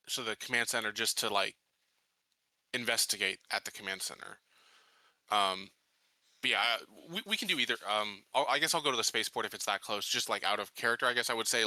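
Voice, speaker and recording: Very bright-voiced man, hesitant tabletop player. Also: lowish voice, dry recording, rough recording. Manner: hesitant, informal, frequent "like". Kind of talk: casual game discussion.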